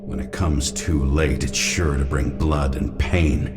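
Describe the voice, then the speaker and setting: An actor playing a weary, cynical police detective in a noir video game, with a terse, deadpan delivery. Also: male voice, a bass voice, unhurried, loud music behind the voice. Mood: brooding.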